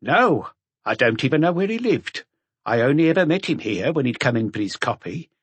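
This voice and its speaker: A man's voice: mid-range voice, very animated, fairly quick, husky, no room sound, voice pushed up. A man voicing a thin artisan in an audiobook, a plain-spoken bar regular with a frank, dismissive manner.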